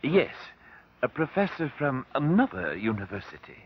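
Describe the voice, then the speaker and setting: An actor playing a dignified, guarded professor who answers formally and precisely, in an old radio drama. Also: male voice, mid-range voice, sing-song, normal speed, slight background noise, close to an everyday voice. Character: flustered, dignified male professor, long-serving colleague of victim. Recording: dull, lo-fi old radio recording.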